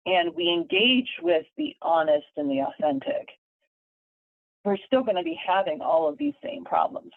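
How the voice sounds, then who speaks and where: A woman's voice: lowish voice, dry recording. A woman professor explaining ideas in an interview, in a thoughtful lecturing way that often repeats words as she builds a point. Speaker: guest, communication professor. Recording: tinny phone-like line, dry.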